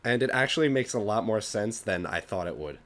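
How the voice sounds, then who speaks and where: A young man from the United States co-hosting a podcast in casual chat, joking and dry, with an animated delivery. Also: fairly low pitch, a little fast. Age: young adult. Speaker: podcast co-host.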